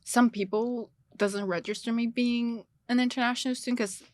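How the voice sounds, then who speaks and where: A woman's voice: a little high, steady tone, moderate pace, a little mellow, no echo. Chatty young woman, slight Chinese accent. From China. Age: young adult. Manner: chatty, laughs often, self-correcting restarts. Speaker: guest, international student. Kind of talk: casual podcast chat. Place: small studio.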